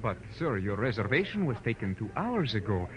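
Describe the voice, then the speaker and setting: An actor playing a polite, puzzled Hawaiian hotel desk clerk, courteous and deferential, in an old radio drama. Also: male voice, fairly low pitch, very animated, brisk, rough recording. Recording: vintage radio recording.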